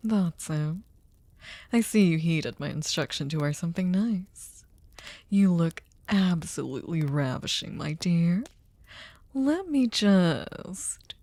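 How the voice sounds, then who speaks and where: A woman plays a possessive, sadistic female vampire in an intimate ASMR drama. Her voice is lowish and mellow, recorded close and dry. She speaks with silky, courtly politeness, teasing and coaxing, often dropping into a breathy whisper. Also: dry recording, full character voice. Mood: courteous, poised, then seductive, admiring. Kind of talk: scripted ASMR roleplay, intimate dramatic monologue.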